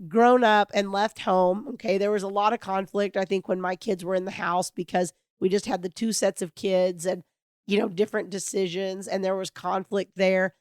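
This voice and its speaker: A middle-aged woman hosting a podcast alone, talking in an earnest, reflective and hopeful way, her voice raspy from a cold. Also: medium pitch, flat delivery, normal speed, a little mellow, no echo, clean studio sound. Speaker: podcast host. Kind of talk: heartfelt solo podcast monologue.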